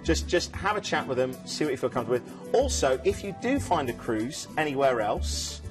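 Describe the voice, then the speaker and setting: A man around forty, talking fast and enthusiastically as he pitches cruise deals on a live shopping show. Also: sing-song, music in the background. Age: 40s. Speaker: cruise sales co-presenter.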